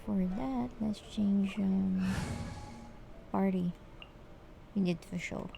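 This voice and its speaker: A woman's voice: mid-range voice, takes it slowly, gravelly, background noise. A woman streaming a game, giving casual, hesitant, musing commentary as she plays. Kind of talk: casual live gameplay commentary.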